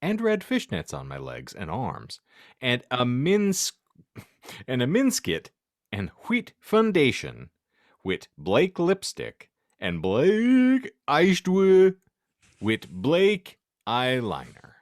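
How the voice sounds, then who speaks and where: A male podcast host reads fan fiction aloud with theatrical comic flair. His pitch swings widely, the pace is very slow and halting, and the sound is dry and close. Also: wildly swooping pitch, dry recording.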